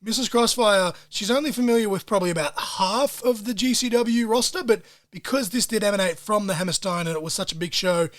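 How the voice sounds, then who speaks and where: A man hosting a solo podcast, talking in an excitable, profane way full of wrestling-fan slang. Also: high-pitched, fairly quick, hardly any pauses. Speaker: podcast host.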